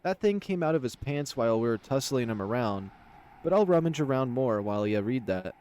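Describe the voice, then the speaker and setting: A man's voice: mid-range voice, lively intonation, brisk, a little mellow, clear, resonant tone, close to an everyday voice. A man voicing a small, crude, greedy male cougar scout with a matter-of-fact manner in a visual novel. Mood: grumbling.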